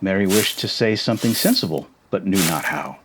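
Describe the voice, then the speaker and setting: A man's voice: deep voice, expressive, a little fast, noisy background. A man in an ASMR roleplay, casually reading a story aloud and chatting between passages.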